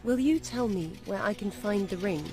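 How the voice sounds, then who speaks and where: A woman playing an ancient vampire noblewoman in a video game, composed, formal and aloof in her deliberate phrasing. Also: medium pitch, expressive, natural voice. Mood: probing. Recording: music behind.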